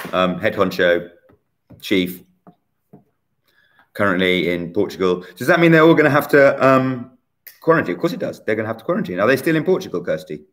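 A man in his forties hosting a casual online quiz from a bright room at home, chatty and teasing, recorded on a laptop webcam. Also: lowish voice. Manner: chatty, teasing, self-mocking. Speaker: quiz host.

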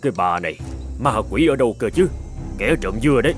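Guy playing grumbly soft-hearted old farmer in a cartoon fairy tale, voice moves up and down a lot. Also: medium pitch, sing-song, fast talker, faint music behind, lightly acted. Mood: wary, grumbling. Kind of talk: animated folk tale dubbing.

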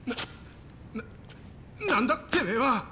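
An actor playing a defiant, hot-headed fourteen-year-old gang boss in a dramatic game scene, brash and rough-spoken. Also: male voice, very high-pitched, very animated, slow pace, sharp tone, slight background noise, full character voice. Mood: rattled. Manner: brash, rough slang, taunting. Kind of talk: dramatic video game acting in Japanese.

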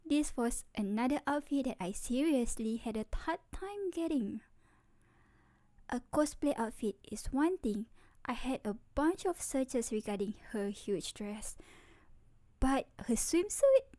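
A woman's voice: sits high, a little mellow, dry recording, heavily acted. A woman playing a sweet, playful, doting young girlfriend in an ASMR roleplay, cutesy and tender, close to the microphone. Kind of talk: ASMR roleplay, scripted acting.

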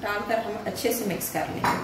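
A woman's voice: mid-range voice, steady tone. A woman, hosting a home cooking channel and narrating a recipe step by step in a friendly, plain way. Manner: friendly, explains each step plainly with small pauses. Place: home kitchen. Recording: echoey room, voice a bit distant, faint noise.

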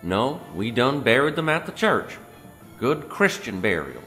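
A country bootlegger played by a man, answering questions in an audio drama. His pitch swings about and his voice rings in a reverberant space, with faint music. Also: sing-song, measured pace, sharp tone. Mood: submissive, confessing. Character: rustic male bootlegger underling confessing under questioning.

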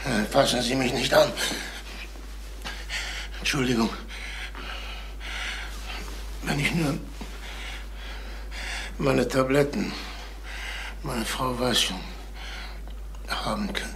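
An actor playing a tired, defensive salesman in a German crime radio play, speaking brusquely, evasively and haltingly. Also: medium pitch, gravelly, a bit bright. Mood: shaken, apologetic. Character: tired, irritable male salesman, shaken and defensive. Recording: faint noise on old radio recording.

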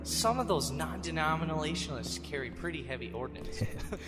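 A man's voice: a little high, animated, fast talker, natural voice. A man voicing an inquisitive, scholarly monk in an audio drama. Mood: curious. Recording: long hall reverb, loud music behind.

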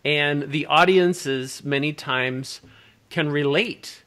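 A man's voice: on the high side, slow pace, fairly bright tone. An older man hosting a video call interview, musing at length between his questions. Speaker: interviewer host. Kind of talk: casual interview chat. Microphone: laptop video call.

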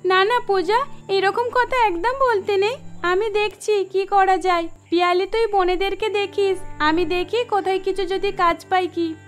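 A woman acts out a twelve-year-old orphaned girl from a cartoon, very high in pitch. She is anxious and dutiful, speaking in earnest, plaintive pleas over background music. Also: steady tone, a little slow. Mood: reassuring. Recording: music under the voice.

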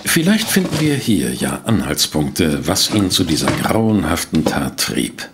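Inquisitive gentleman detective, audio drama, gravelly, animated intonation, polite, pensive. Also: very deep voice, a little slow, background noise, heavily acted. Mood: pensive, curious. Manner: courteous, pensive, hesitant. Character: polite, inquisitive male private detective, narrating his case. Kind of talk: German audio drama acting with first-person narration.

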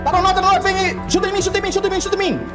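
A young Brazilian man doing excited live game commentary, repeating himself and swearing in slang. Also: extremely high pitch, rapid-fire, music in the background. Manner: excitable, repetitive, heavily profane slang. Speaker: gaming streamer. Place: indoor room, webcam streaming setup.

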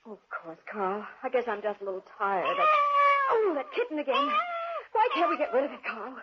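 A woman's voice: fairly high pitch, very animated, a little fast, heavy background noise. A woman acting an anxious, devoted young wife in melodramatic 1940s radio style. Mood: fearful. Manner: earnest, fretful, melodramatic. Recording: dull 1940s radio recording.

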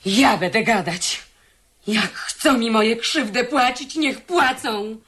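Wronged young servant for radio drama; high-pitched, bitter, plain-spoken and unbowed. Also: female voice, slow, a bit bright. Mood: defiant. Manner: blunt, defiant, folksy. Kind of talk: Polish radio theatre acting.

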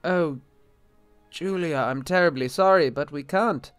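An actor playing an anxious, exasperated bishop in an audio drama, formal and hesitant in manner. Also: male voice, on the high side, a little slow, smooth, no echo, soft background music, natural voice. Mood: harried. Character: anxious, exasperated, skeptical married male bishop. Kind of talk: radio-play style drama acting.

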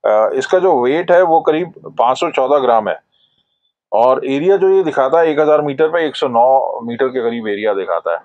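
A man's voice: medium pitch, animated, normal speed, no room sound, lo-fi sound. A middle-aged man in a shop, explaining binoculars in a product review, hesitating often with "uh" fillers. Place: binocular shop, indoors, shelves of boxes. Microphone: lapel mic.

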